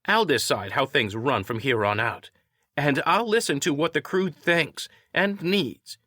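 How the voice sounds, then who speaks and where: A man's voice: on the high side, lively intonation, measured pace, sharp tone, dry recording, clean studio sound, voice pushed up, exaggerated voice. A man voicing a tough, assertive woman ship commander in an audiobook story. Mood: defiant anger. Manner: heated, assertive.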